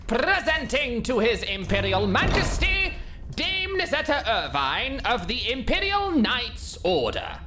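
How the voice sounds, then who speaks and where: A woman's voice: fairly high pitch, huge pitch swings, unhurried, music in the background. A woman playing a formal throne room crier in a fantasy audio drama, announcing in a ceremonial, declamatory way.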